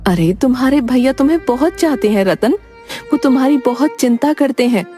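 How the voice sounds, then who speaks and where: Affectionate young man's sister-in-law, female actor, expressive pitch, protective, reassuring, animated tale. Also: moderate pace, faint music behind. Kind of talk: cartoon moral story acting.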